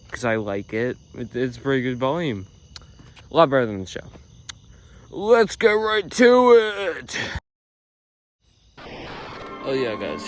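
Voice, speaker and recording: Rambling man reacting to videos. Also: mid-range voice. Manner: rambling, lots of filler "uh"s. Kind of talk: casual reaction commentary.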